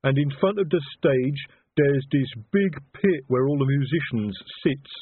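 A big, slow-witted troll from an audiobook. He plods through ungrammatical sentences with earnest pride in his culture. The sound is thin and narrow, like a phone. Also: mid-range voice, slightly rough, no room sound, pitched above the performer's own voice. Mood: earnest, expansive. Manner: ponderous, uneducated, ungrammatical speech. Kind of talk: audiobook character voice.

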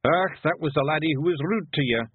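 A man's voice: a little high, brisk, husky, no echo, narrow, tinny audio, voice pushed up. A man voicing a tiny, brash, cheerfully bossy pictsie leader with a Scottish accent in a storybook reading. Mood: urgent. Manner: exclamatory, commanding, plain-spoken dialect talk.

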